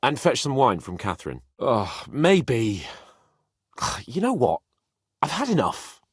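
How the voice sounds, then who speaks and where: An actor playing a proud, hot-headed young medieval nobleman in a dramatic video game, with a haughty and expressive delivery. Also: mid-range voice, slow pace, slightly rough, dry recording, studio quality, full character voice. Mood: irritated, brash. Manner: haughty, expressive, swearing freely.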